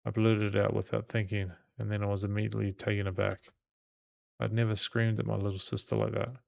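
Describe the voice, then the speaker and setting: A man reading a visual novel aloud as a gaming host, in measured storytelling with occasional stumbles. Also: on the low side, level tone, gravelly, darkish tone. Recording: game music behind the voice.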